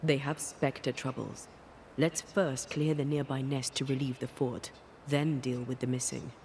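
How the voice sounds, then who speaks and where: An actress playing a composed, probing ghost hunter in a video game, measured and businesslike. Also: female voice, rumbling low, flat delivery, darkish tone, a little hiss or hum. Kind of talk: video game cutscene acting.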